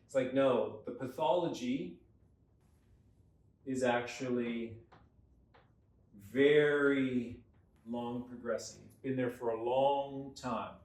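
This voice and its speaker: A man's voice: medium pitch, takes it slowly, pauses often, a little mellow. A man coaching, explaining a lesson in a conversational way and stressing key words. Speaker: neuroperformance coach. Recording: roomy echo, mic at a distance.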